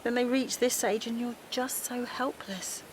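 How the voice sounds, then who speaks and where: A woman's voice: a little high, fairly even intonation, a little slow, slight background noise, close to an everyday voice, rough recording. An actress playing a weary, worried middle-aged mother, confiding and emotionally strained in a naturalistic audio drama. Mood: weary self-doubt. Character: Alice, a worn-out, worried mother of a teenage son.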